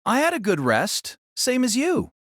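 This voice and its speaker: A woman's voice: a little high, huge pitch swings, measured pace, dry recording, studio quality, voice pushed up, exaggerated voice. A woman voicing a mild, easygoing teenage boy fighter with a casual, considerate manner.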